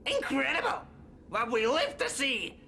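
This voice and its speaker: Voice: high-pitched, very animated, unhurried, bright, forceful tone, a little hiss or hum, heavily acted. An actor voicing a wicked, boastful polluting germ in a cartoon, exclaiming scornfully. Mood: scornful, astonished. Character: wicked, scheming polluting germ called Flu. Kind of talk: cartoon voice acting.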